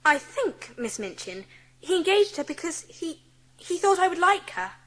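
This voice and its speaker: Posh little girl, earnest n polite, high lilting voice, audiobook drama. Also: big pitch swings, moderate pace, fairly bright tone, full character voice. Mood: polite, hesitant. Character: earnest, imaginative seven-year-old girl, polite, wealthy.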